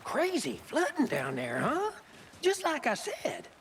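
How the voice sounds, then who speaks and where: A performer playing a chatty, excitable small-town deputy in a video game. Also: high voice, slow pace, far from the mic, slight background noise, full character voice. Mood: cheerful, chatty. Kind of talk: cinematic video game acting.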